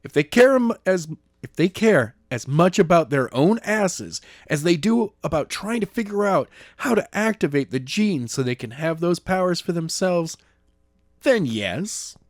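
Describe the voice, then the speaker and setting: A man's voice: fairly high pitch, sing-song, a little slow, no room sound, clean studio sound, voice pushed up. A man reading a novel aloud, voicing a defiant fifteen-year-old boy who makes demands. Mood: commanding.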